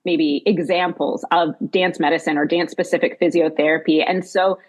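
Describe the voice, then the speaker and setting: A woman speaks as the host of an interview podcast. Her voice sits a little low and has a husky edge. She introduces and questions with an enthusiastic manner. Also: steady tone, normal speed.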